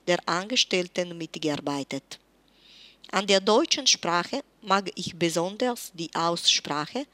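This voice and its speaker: A woman in her forties from Italy, with an Italian accent and Italian as her first language, giving a careful, measured self-introduction as a German teacher. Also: lowish voice, a bit bright, dry recording. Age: 40s. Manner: careful, measured, slightly formal.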